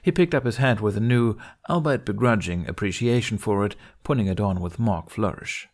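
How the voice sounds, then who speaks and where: A man's voice: on the low side, moderate pace, a little mellow. A man reading a scripted story aloud as narrator. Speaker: podfic narrator.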